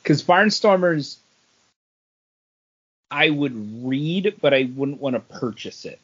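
Guy on a comics podcast, kinda high voice, takes his time, casual, bit lo-fi. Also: lively intonation, slow pace, a bit bright, husky. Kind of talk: casual comic book review chat.

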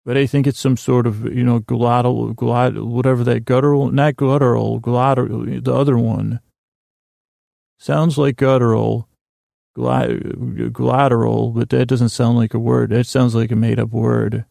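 A man's voice: medium pitch, level tone, unhurried, mellow, no room sound, studio quality. A man hosting a solo podcast in a rambling, stammering monologue, often interrupting himself and drifting off with mock-earnest, self-deprecating whimsy. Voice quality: creaky, gravelly. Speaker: podcast host.

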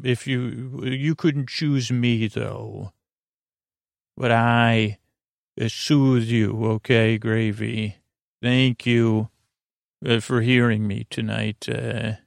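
A man hosting a bedtime podcast with a creaky voice, rambling in a lulling, halting way with trailing asides. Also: mid-range voice, no room sound, clean studio sound. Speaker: podcast host. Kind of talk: rambling, soothing bedtime monologue.

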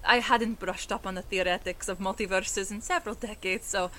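An actress playing a long-lived, scholarly woman in an audio drama, articulate and measured, with a teasing streak. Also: mid-range voice, steady tone, fairly bright tone, slight background noise, lightly acted. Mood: explanatory.

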